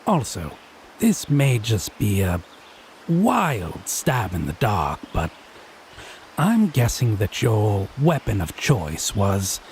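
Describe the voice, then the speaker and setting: A man's voice: fairly low pitch, a little hiss or hum, exaggerated voice. A man playing a smug, tipsy male fae who teases his lover with mocking, sardonic condescension in a theatrical audio roleplay. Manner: drawling, mocking, sardonic, pet names. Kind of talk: slow theatrical audio roleplay monologue addressed to listener.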